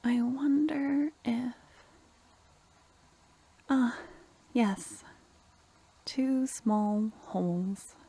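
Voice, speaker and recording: A woman playing a sweet, caring court necromancer in a softly spoken, half-whispered ASMR fantasy roleplay, talking intimately and affectionately to the listener. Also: a little high, extremely slow, long pauses, exaggerated voice. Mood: sorrowful, tender. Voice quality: soft, breathy, half-whispered. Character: sweet, caring young female court necromancer, doting and anxious.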